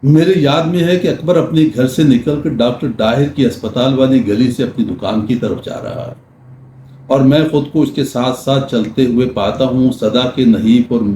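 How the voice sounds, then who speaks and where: An older man giving a literary talk in measured, formal diction with deliberate pauses, reading prose and reciting verse. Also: medium pitch, warm tone. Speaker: lecturer. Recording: roomy echo with faint background noise.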